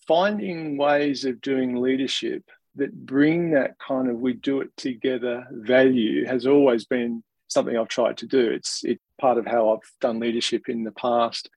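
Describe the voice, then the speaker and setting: A gravelly Australian man answers interview questions in a thoughtful, measured way, sometimes restarting a phrase. His voice has a husky edge, and the recording is close and dry. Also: medium pitch, darkish tone, no room sound, low-quality recording. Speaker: interview guest, organisational leader.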